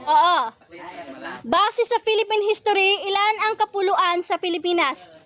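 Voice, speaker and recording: A woman's voice: very high-pitched, measured pace, a bit bright. A woman with a Filipino accent hosting a quiz, reading out trivia questions in set formal wording. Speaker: quiz host.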